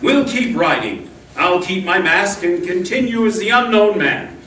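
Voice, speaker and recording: Radio Western hero, played by a woman: noble masked lawman, earnest, declamatory, unhurried delivery. Roomy stage, distant mic. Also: mid-range voice, expressive, a bit bright, slight background noise. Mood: earnest, resolute. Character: steadfast, noble masked male Texas Ranger hero. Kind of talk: old-time radio Western acting.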